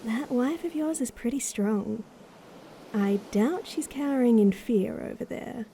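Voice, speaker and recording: A woman playing a loyal, calm noblewoman advisor in an audio drama, with dry, teasing understatement. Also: a little high, measured pace, a little hiss or hum. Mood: teasing, reassuring.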